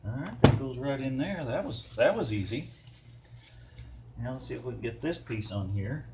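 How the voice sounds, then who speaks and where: A man's voice: mid-range voice, lively intonation, moderate pace, background noise. An American man giving a casual, hands-on how-to in a folksy, easygoing, rambling way with playful wording. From United States. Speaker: DIY car repair vlogger.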